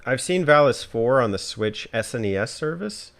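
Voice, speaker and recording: A man's voice: mid-range voice, animated, normal speed, creaky, fairly bright tone. A man hosting a podcast, chatting about video games in a laid-back, informal way. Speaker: podcast host.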